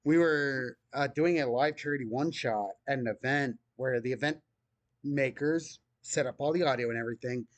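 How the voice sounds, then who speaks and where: A man game master chatting casually, speaking calmly and evenly. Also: medium pitch, normal speed, dry recording. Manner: calm, even-tempered talker. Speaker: game master, video creator. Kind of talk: casual podcast chat.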